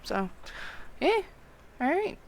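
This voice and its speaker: Slow, creaky-voiced woman game streamer. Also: sits high, very animated, slight background noise. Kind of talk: casual live playthrough commentary.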